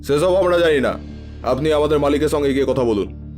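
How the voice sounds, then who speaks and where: A man's voice: fairly high pitch, a little fast, darkish tone, faint music behind, close to an everyday voice. A man playing a dismissive, blunt labour foreman in a cartoon. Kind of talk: cartoon voice acting.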